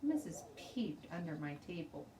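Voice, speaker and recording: A woman teacher reading a children's storybook aloud in an expressive, deliberate way. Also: deep voice. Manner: deliberate, animated read-aloud. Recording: roomy, distant mic, faint noise.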